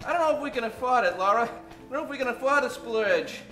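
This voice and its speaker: Man acting a bright, hammy hard-luck fellow. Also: extremely high pitch, expressive, quick pace, echoey room, faint music behind, heavily acted. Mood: weary, grumbling. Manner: hammy, period slang. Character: grumbling, down-on-his-luck man trying to buck up. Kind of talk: old-time radio comedy acting.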